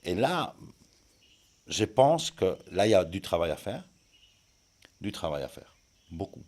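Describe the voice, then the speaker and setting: A man's voice: deep voice, big pitch swings, measured pace, darkish tone. A man who is not a native French speaker, answering interview questions hesitantly, with many fillers and restarts. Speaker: guest, communication expert.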